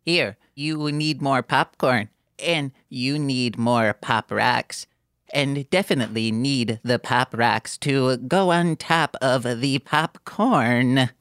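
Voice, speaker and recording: A woman's voice: very deep voice, slow, smooth. A woman voicing an eager, insistent, permanently smiling middle-aged man in a comedy script read.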